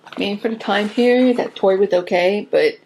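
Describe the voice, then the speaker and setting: A woman reading a children's Christmas poem aloud as an amateur storyteller, in an expressive, informal way. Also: medium pitch, moderate pace, slight background noise, rough recording.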